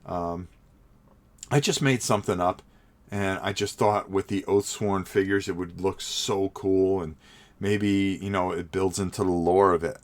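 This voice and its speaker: A man in middle age hosts a hobby livestream, narrating casually as he paints. His voice sits a little low and sounds sniffly from a cold. He rambles, trails off and repeats words as he answers his chat. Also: fairly even intonation, a little slow.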